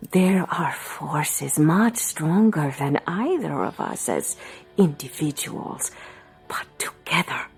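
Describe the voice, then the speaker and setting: A woman playing a commanding, mystical palm reader in an audio drama, coaxing with formal phrases and dramatic, hushed pauses. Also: fairly low pitch, husky, heavily acted. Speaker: fortune teller. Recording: music under the voice.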